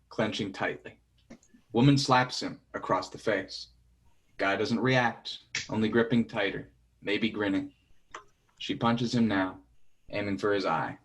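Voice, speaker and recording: A man's voice: fairly low pitch, a bit bright. A man reading out stage directions as scripted narration for a play reading.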